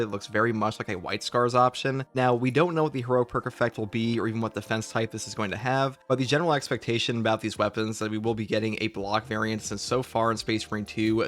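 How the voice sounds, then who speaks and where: A man's voice: on the low side, a bit bright. A man delivering scripted video game news commentary as a channel host.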